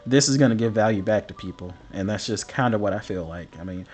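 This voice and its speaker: A man's voice: lowish voice, quick pace, a little mellow. Sick, rambling gaming host man. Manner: rambling, apologetic, many fillers. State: sick, partly lost voice. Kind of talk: casual commentary over patch notes. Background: faint background music.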